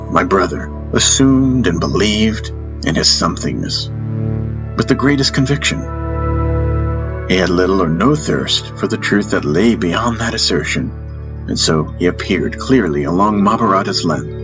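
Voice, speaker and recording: A man with a deep voice narrates a fantasy tale in the first person. His delivery is scripted storytelling, as in an audiobook. Soft music runs beneath the voice. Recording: music under the voice. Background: background music.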